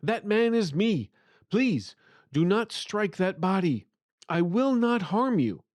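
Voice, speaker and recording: A man's voice: high-pitched, big pitch swings, takes it slowly, darkish tone, no room sound, voice pushed up, exaggerated voice. Trapped spirit of an uncle , played by a male narrator, earnest and imploring, fantasy audiobook, close dry sound. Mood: urgent shouting. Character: earnest, pleading uncle's spirit trapped behind a sealed portal.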